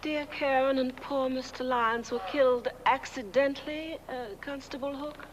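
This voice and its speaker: A woman playing a genteel, mournful lady of the house in an old comic film, speaking in slow, drawn-out phrases. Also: high voice, steady tone, lightly acted. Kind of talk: 1970s comic film acting. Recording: muffled old film soundtrack, faint noise.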